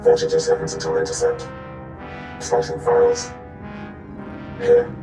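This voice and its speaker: A man's voice: extremely high pitch, monotone, a little slow, gravelly, roomy sound, over music, exaggerated voice. A man voicing a cold, guarded intelligence analyst in a video game, speaking in clipped, terse phrases.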